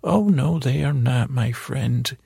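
A man's voice: medium pitch, creaky, mellow, slightly rough, no echo, clean studio sound, full character voice. A man voicing a chatty elderly lady, kindly but firm, in a hesitant, folksy way for a comic bedtime story. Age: older. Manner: halting, folksy, addresses listener as young man.

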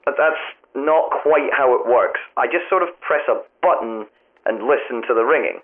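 Affable phone tester, halting and wry, animated pitch, tinny telephone sound, audio drama. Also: male voice. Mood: vague, matter-of-fact. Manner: halting, vague, literal-minded, politely bemused. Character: hesitant, affable, wry man who tests the tower phones. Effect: telephone filter. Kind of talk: naturalistic audio drama dialogue. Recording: thin phone-line sound, music underneath.

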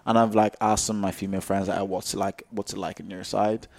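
A man's voice: fairly low pitch, level tone, fast talker, vocal fry. A young man hosting a podcast, chatting casually in a rambling, self-interrupting, joking way. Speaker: podcast host. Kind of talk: casual chat.

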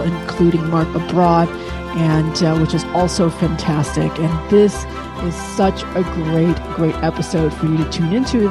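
A woman from Indonesia hosting a solo podcast, talking straight to her listeners in an emphatic way, often repeating words for stress. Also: on the low side. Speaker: podcast host.

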